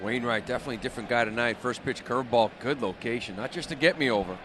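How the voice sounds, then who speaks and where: A man's voice: medium pitch, racing. An American man giving live baseball analysis as a color commentator, explaining plays conversationally in short phrases. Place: ballpark broadcast booth. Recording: clear stadium noise behind the voice.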